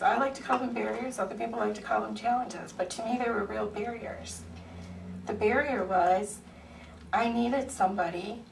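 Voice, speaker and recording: Soft-voiced woman speaking slowly. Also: mid-range voice. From Ohio, USA. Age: 40s. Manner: unhurried storytelling with frequent ums. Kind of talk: personal testimony speech. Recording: echoey hearing room, far mic, faint noise.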